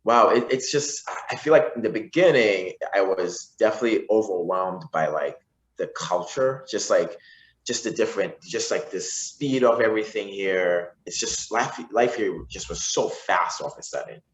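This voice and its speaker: Deep-voiced Zimbabwe-raised man, forties, casual rambling guest in an echoey room. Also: lively intonation, moderate pace. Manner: rambling with frequent restarts and fillers. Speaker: podcast guest. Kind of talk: casual interview conversation. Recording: roomy echo.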